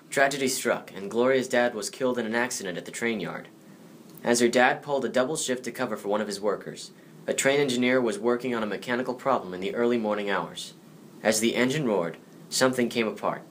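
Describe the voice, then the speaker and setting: A man's voice: lowish voice, a little hiss or hum. An American man presenting a scripted biography as its narrator. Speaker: presenter, grandson of the subject.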